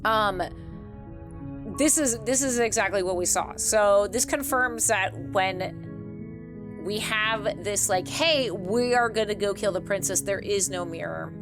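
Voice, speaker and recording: A woman's voice: medium pitch, takes it slowly, over music. A woman giving casual playthrough commentary as a streamer, informal and sweary, thinking aloud. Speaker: gaming streamer.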